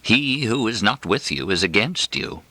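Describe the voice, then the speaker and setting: A man's voice: lowish voice, big pitch swings, normal speed, bright, forceful tone, no echo, voice pushed up, exaggerated voice, rough recording. A man voicing a sarcastic, disapproving, gossipy mother in an audiobook, with an arch, pointed manner. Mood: dark, pointed. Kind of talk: audiobook character dialogue.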